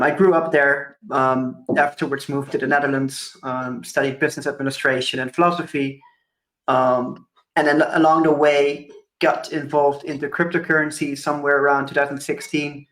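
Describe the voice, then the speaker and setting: A man's voice: mid-range voice, level tone, moderate pace, fairly bright tone. Young man from Curaçao presenting to a conference, thoughtful and filler-heavy, echoey room. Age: 20s. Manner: thoughtful, many ums and kind-ofs. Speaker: conference speaker. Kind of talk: presentation talk. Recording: roomy echo.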